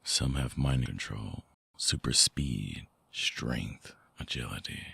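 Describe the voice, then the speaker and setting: A man playing a lovelorn, seductive vampire ex in an intimate ASMR audio drama, speaking softly and haltingly with a very deep, gravelly, husky voice. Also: no echo, clean studio sound, full character voice. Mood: brooding, explanatory. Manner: slow, halting, softly explanatory.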